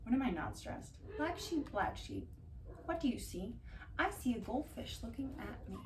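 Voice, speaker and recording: A woman doing a casual ASMR-style storytime, reading aloud and drifting into dry, deadpan asides. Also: on the high side, normal speed. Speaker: cat vlogger reading bedtime picture books aloud. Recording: distant mic, roomy background noise.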